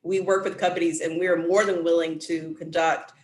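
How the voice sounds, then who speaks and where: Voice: mid-range voice, level tone, brisk. A trade specialist explains exporting over a video call in an upbeat way, often checking in with "right?". The sound carries a roomy echo. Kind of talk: explanatory chat.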